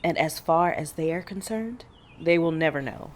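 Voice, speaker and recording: A woman acting a composed, secretive middle-aged mother and monster hunter in an audio drama, speaking in a measured, gentle way. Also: sits low, natural voice.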